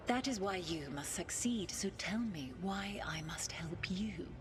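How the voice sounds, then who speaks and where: A woman playing an ancient, proud elven goddess spirit in a video game, speaking formally and with haughty, deliberate command. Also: lowish voice, expressive. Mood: hushed, demanding. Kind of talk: dramatic video game acting.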